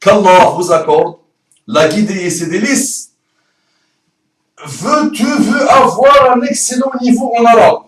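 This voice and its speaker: A man who speaks French as a second language, with an Arabic accent and rolled R's. He pitches an Arabic course in a playful, emphatic way full of rhetorical questions. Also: slow, pauses often, echoey room, recorded from a distance, poor audio. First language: not French. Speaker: Arabic teacher.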